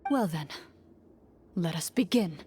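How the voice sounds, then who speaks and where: Composed, formal game master. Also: female voice, fairly low pitch, expressive, takes it slowly, warm tone, slight background noise. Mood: measured, solemn. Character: composed young female game master narrating tabletop adventure. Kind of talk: scripted narration within a game.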